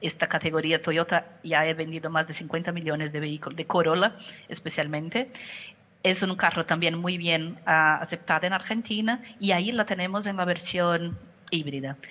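A middle-aged Brazilian woman speaks Spanish with a Portuguese accent, her voice slightly low. She answers over a headset on a video call, pausing to pick words and restarting phrases. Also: a bit bright. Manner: careful, searches for words, frequent restarts. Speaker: company communications director. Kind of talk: panel interview answer. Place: home office, indoors.

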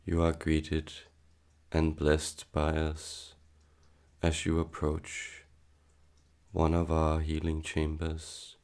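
Very deep, slow, creaky-voiced man. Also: monotone, a little mellow. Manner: solemn, hypnotic, halting in short phrases. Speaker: channeler leading a guided meditation. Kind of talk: slow, soothing guided meditation.

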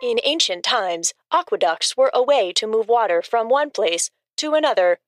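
A woman reading scripted informational asides as a co-host, matter-of-fact, measured and deadpan. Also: fairly high pitch, over music.

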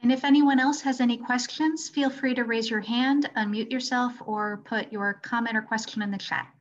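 A woman's voice: a little high, steady tone, moderate pace. A woman hosting a webinar and moderating questions over a video call. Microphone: video call microphone. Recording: dull lo-fi video call audio.

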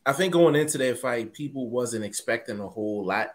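A man's voice: mid-range voice, expressive, a little fast. A man co-hosting a boxing chat over a video call, laid-back and drawn out. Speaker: co-host.

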